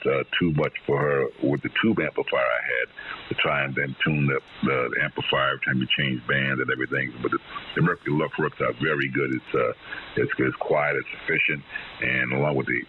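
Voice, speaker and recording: A narrow, tinny ham radio signal with faint static carries a man's extremely deep voice. He chats casually as a radio operator, halting and repeating words as he explains things. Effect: shortwave radio transmission.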